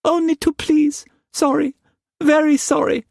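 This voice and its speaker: Terrified pregnant young woman, squeaky high pleading voice, broken pidgin, audiobook. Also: flat delivery, measured pace, creaky, darkish tone, no echo, studio quality. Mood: pleading, tearful.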